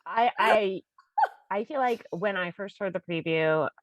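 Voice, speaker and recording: A woman's voice: medium pitch, a little slow, no echo, dull audio. A woman co-hosting a music reaction video, chatting casually and gushing with delighted groans. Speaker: co-host of a reaction channel.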